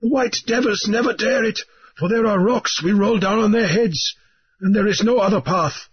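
A man playing an urgent island guide, panting, high voice, slightly husky. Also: moderate pace, dull audio. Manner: breathless, urgent.

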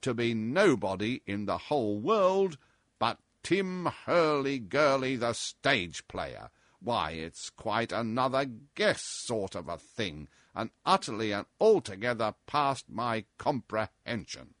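A man voicing a boozy, irreverent sailor in a storybook, rambling defiantly in drawn-out nautical talk with mocking chuckles. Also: mid-range voice, very animated, a bit bright, no room sound, studio quality. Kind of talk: storybook character voice in an audiobook.

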